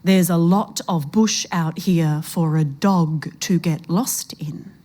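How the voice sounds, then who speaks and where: A woman reading the part of an uncanny old grandmother in a story, soft-spoken, pleasant and quietly menacing. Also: on the low side, slow pace. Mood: soft menace.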